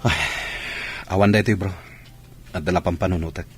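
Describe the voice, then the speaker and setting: A man's voice: sits low, steady tone, moderate pace, faint music behind, lightly acted. An actor playing a hurt, bitter, brooding man in a radio drama, speaking in a grave, solemn manner. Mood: troubled.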